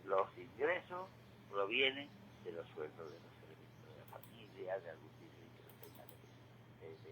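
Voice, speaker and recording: An older man giving a reflective radio monologue, halting and repeating words, with rhetorical questions. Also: on the low side, bright, forceful tone. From Spain. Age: 60s or older. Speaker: retired regular contributor giving commentary. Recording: clearly noisy radio feed.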